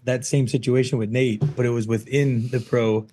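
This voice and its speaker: A man's voice: medium pitch, steady tone, moderate pace, warm tone, a little hiss or hum. A man chatting casually as a podcast guest, laid-back and slangy, often putting himself down. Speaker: podcast guest, pro cornhole player. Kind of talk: casual chat.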